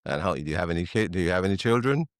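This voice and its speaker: A man's voice: low voice, animated, racing, clear, resonant tone, no room sound, studio quality. A man hosting a podcast interview, welcoming his guest and asking questions in a friendly way with some fillers. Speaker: podcast host.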